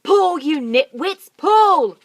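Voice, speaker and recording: A woman voicing an excitable, bossy male monkey father who shouts urgent orders in a shrill voice. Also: takes it slowly, no room sound, full character voice.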